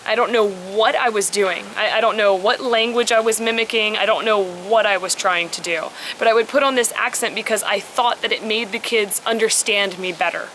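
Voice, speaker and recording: A woman's voice: mid-range voice, steady tone, normal speed, hardly any pauses, fairly bright tone, a little hiss or hum, poor audio. A young American woman chatting casually and reflectively with her viewers, answering their questions. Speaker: vlogger, preschool English teacher.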